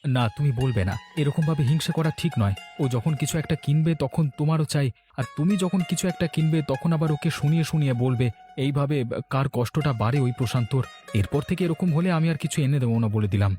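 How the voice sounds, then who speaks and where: A man's voice: on the high side, fast talker, dark tone, faint music behind, close to an everyday voice. A man voicing a sensible, weary working husband in a cartoon story, plain-spoken and homely in his talk. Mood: scolding. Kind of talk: Bengali cartoon story dialogue.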